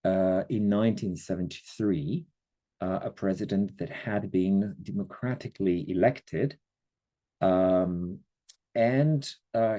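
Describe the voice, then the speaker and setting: A middle-aged man from Chile giving an online history lecture, speaking haltingly with many fillers and checking in with listeners. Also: low-pitched, warm tone. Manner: slow, hesitant, many fillers, checks in with the audience.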